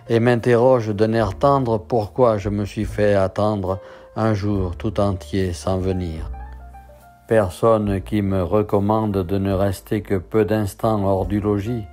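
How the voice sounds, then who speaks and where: A man reads poetry in a measured, deliberate literary recitation. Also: fairly low pitch, steady tone, darkish tone. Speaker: poetry reader. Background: instrumental music.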